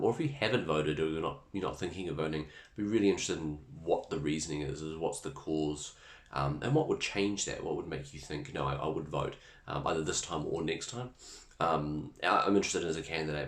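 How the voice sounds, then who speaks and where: Council candidate, man, New Zealand accent, raspy and hoarse, extremely deep voice, easygoing and hesitant delivery, echoey room. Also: fairly bright tone. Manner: easygoing, chatty, hesitant with many fillers. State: hoarse, recovering from illness. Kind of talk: casual campaign video update. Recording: roomy echo.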